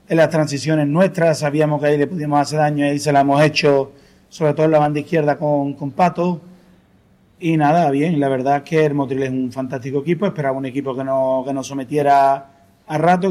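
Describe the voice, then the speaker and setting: A man, a football coach, calmly breaking down a match in a post-game interview. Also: fairly high pitch. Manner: measured, analytical, with restarts.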